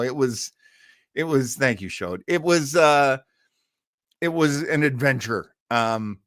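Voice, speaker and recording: A man hosting a live show in casual chat, reading out and answering listener comments in a halting, rambling way with jokey asides. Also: mid-range voice, a bit bright, clean tone, no echo, clean studio sound.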